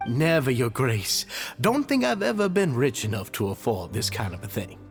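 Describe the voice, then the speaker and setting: A deferential society leader in an anime-style video game. His delivery is formal and effusively courteous, with intonation that rises and falls in a lively way. There is light music in the background. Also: medium pitch, normal speed, gravelly. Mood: humble. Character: deferential, ingratiating adult male leader of a society.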